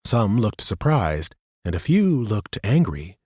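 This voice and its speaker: A male narrator reading a fantasy audiobook in a steady, even storytelling style. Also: fairly low pitch, slow pace, husky, no room sound, studio quality.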